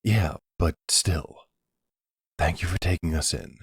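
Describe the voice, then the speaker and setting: A man plays a sweet, protective young boyfriend in a roleplay audio. His voice is low and husky, recorded dry and close. He talks tenderly and casually, with an uneasy hesitance. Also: slow, dry recording, heavily acted. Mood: tender, concerned.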